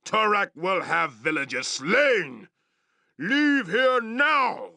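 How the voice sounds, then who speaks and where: An actor playing a savage, proud orc chieftain in a fantasy video game, growling threats in blunt, broken English. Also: male voice, high voice, sing-song, takes it slowly, pushed and bright, no room sound, studio quality, heavily acted. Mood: threatening. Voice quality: gravelly growl. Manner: broken, blunt English with barked war cries.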